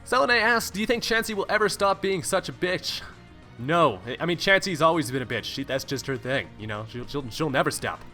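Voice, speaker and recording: Young male YouTuber, bright tone, laid-back and jokey, swears casually, light music bed. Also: on the high side, lively intonation, a little fast. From California. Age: young adult. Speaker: YouTuber answering viewer questions. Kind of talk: casual Q&A voice-over. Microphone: home recording mic, no webcam.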